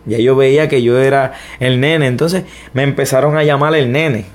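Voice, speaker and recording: Halting Puerto Rican man telling his story. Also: mid-range voice, fairly even intonation. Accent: Puerto Rican Spanish. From Puerto Rico. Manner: hesitant, frequent restarts, self-deprecating. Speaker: interview guest. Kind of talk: personal testimony interview.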